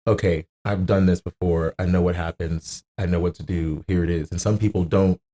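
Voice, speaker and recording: A man's voice: low voice, steady tone, normal speed, warm tone. A man as a podcast guest, chatting casually and bluntly, swearing and acting out what people say. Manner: blunt, sweary, acts out quoted remarks.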